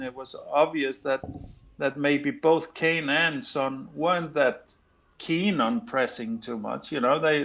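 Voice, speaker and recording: A man from Denmark giving his views as a fan on a video call, hesitant, full of fillers and restarts. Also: medium pitch. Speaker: fan pundit. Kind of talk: casual chat on a video call.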